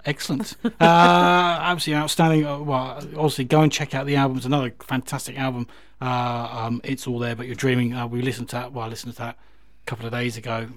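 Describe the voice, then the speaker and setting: A man's voice: medium pitch, flat delivery, fast talker, no room sound. Casual male radio host, dry close sound. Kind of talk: casual music radio presenting.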